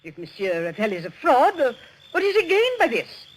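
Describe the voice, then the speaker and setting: A woman playing a lonely, fussy aging aunt in a vintage radio drama, querulous and halting. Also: sits high, wildly swooping pitch. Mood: flustered, defensive. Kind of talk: 1940s radio drama acting. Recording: noisy vintage radio recording.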